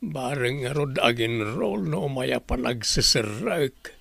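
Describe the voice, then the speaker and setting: Actor as gravelly, brash Ilocano man. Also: medium pitch, expressive, takes it slowly, fairly bright tone, no room sound, exaggerated voice. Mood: conversational. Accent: Ilocano Filipino. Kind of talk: Ilocano radio drama acting.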